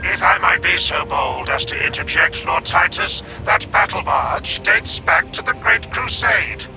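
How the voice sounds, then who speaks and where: A man's voice: high-pitched, a little slow, gravelly, over loud music. An actor playing a fussy, reverent male artisan who speaks in florid, deferential phrases about ancient machines. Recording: voice under loud game score.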